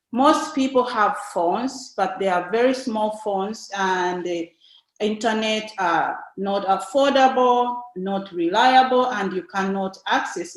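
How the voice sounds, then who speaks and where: Female presenter, Tanzanian accent, mid-pitched voice, unhurried and halting informative delivery with frequent pauses. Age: young adult. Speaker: invited speaker, nun. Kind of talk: informative slide presentation talk. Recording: echoey, roomy sound.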